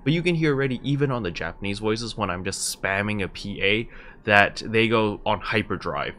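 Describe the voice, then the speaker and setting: A man's voice: lowish voice, sharp tone. A young adult man hosting a video game tutorial in a casual, conversational, step-by-step explaining style, recorded indoors at home. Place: small room at home, indoors.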